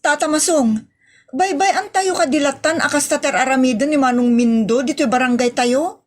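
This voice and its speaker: A woman's voice: high voice, normal speed, lightly acted. A woman playing an exasperated, complaining woman in an Ilocano comedy drama, with an Ilocano accent and an emotive, scolding delivery. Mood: pleading, indignant. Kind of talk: Ilocano comedy radio-style drama acting.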